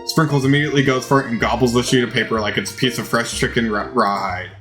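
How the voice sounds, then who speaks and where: A man's voice: medium pitch, steady tone, fast talker, fairly bright tone, faint music behind. A man reading game text aloud as a dramatized narration during a playthrough.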